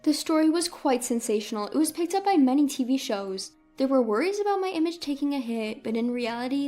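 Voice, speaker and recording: A woman's voice: high voice, mellow. A woman reading a scripted first-person story as a dramatic narrator.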